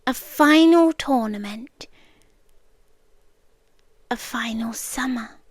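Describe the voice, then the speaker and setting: A woman with a British accent playing an ancient, obsessive cosmic being in an intimate ASMR roleplay, speaking softly and deliberately, often whispering. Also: fairly high pitch, slightly rough, no echo. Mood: tender, wistful. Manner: soft, deliberate, drifting into whispers. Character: ancient female cosmic entity, obsessive yandere girlfriend, wistful and sinister.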